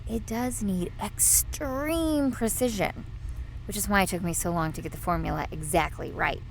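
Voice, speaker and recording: A woman playing a brisk, eccentric doctor in an audio drama, matter-of-fact and proud of her science. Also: mid-range voice, vocal fry, slight background noise, close to an everyday voice, rough recording. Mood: eager, proud. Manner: matter-of-fact, clinical, quirky.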